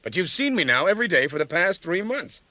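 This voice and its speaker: A man's voice: high-pitched, big pitch swings, quick pace, bright, forceful tone, no room sound, exaggerated voice, poor audio. A man playing an easygoing military colonel in an audio drama, teasing and informal with a wry manner.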